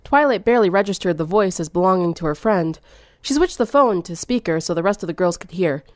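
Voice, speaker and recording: A woman's voice: on the low side, expressive, a little fast, no echo. Woman reading a story, very dry sound. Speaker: fan fiction reader. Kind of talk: scripted story narration.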